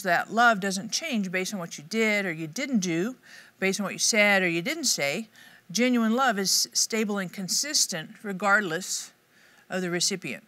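Upbeat, bright-voiced woman teaching to camera. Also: medium pitch. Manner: upbeat, expressive, conversational. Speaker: Bible teacher. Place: dark studio set, indoors.

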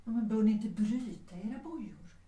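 An actress playing a proud, determined genteel widow in middle age, formal and dignified, in a period audio drama. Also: female voice, fairly high pitch, dark tone, close to an everyday voice. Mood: probing, challenging. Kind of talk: period audio drama acting, 1930s setting. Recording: echoey room, slightly distant.

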